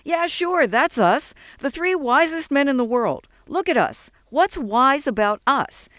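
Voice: mid-range voice, sing-song, fairly quick, no echo, studio quality, pitched above the performer's own voice, natural voice. A narrator voicing a stubborn, sarcastic man who talks with indignant, scornful emphasis in an audiobook. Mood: scornful, frustrated.

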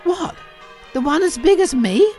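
A woman's voice: very high-pitched, lively intonation, unhurried, dark tone, soft background music, pitched above the performer's own voice. A woman voicing a cheeky, eager young street boy in a storybook reading, with an incredulous, exclamatory manner.

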